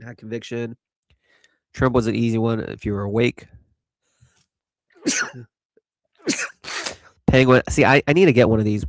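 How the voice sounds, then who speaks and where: A man's voice: medium pitch, a little mellow, no echo. A man hosting a casual live crypto chat, rambling and laid-back with lots of fillers, stuffy from allergies. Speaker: host.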